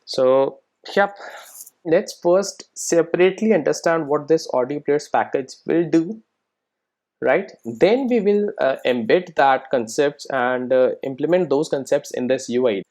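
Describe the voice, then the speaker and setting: A man's voice: fairly high pitch, a little slow, darkish tone. A man presenting an informal screencast coding tutorial, explaining conversationally and often checking in with short tag questions. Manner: conversational, explanatory, often tagging sentences with "right?" and "okay?".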